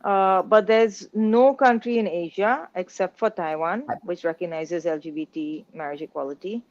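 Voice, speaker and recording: A woman's voice: on the low side, normal speed, a little mellow, no room sound, highs cut off. A woman from India, an interview guest, making her case in an earnest, articulate way. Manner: earnest, articulate, building an argument. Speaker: guest, business executive. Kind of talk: interview answers.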